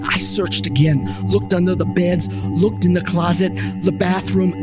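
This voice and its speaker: A man's voice: high-pitched, steady tone, unhurried, over loud music. A man reading a scripted first-person story as its storyteller.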